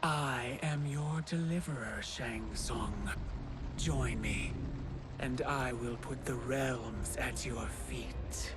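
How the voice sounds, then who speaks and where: Game villain, male: grandiose, shadowy manipulator played by an actor, very deliberate pace, commanding, under loud music. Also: mid-range voice, close to an everyday voice. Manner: measured, commanding, persuasive. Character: mysterious male tempter, calculating, grandiose, offering power. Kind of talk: video game cutscene acting.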